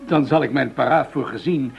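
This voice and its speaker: Gravelly, diplomatic senior official. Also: male voice, mid-range voice, normal speed, slight background noise. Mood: calm, firm. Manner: formal, businesslike, diplomatic. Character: measured, conciliatory male senior official mediating. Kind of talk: Dutch radio play acting. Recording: dull, muffled radio drama recording.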